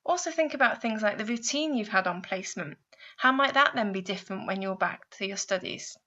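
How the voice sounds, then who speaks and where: Bright-voiced woman narrating guidance. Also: medium pitch, expressive, fairly quick, lo-fi sound. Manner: clear, explanatory, addressing the listener with guiding questions. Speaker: university placement adviser narrating a guidance video. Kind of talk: scripted instructional narration.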